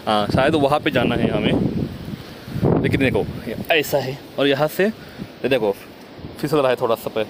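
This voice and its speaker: A man's voice: medium pitch, normal speed, pauses often. A young man vlogging as he climbs a misty mountain path, talking carefully and a little nervously to himself. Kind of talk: hiking vlog narration. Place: outdoors on a misty mountain trail. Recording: loud wind noise on the mic. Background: wind.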